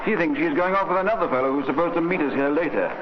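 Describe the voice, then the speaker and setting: A muffled, noisy old radio recording carries a man playing a suave British rogue. His voice sits fairly high and swings in lively fashion, breezy and teasing, full of easy self-assurance. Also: rapid-fire, lightly acted. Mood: breezy, confident. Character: smooth, cocky, charming young male crook and adventurer. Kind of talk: vintage radio drama acting.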